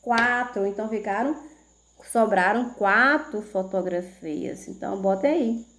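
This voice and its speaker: Slow, patient woman teacher. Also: medium pitch, fairly bright tone, slight background noise. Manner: slow, patient, checks in with "tá certo?". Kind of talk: video math lesson for children.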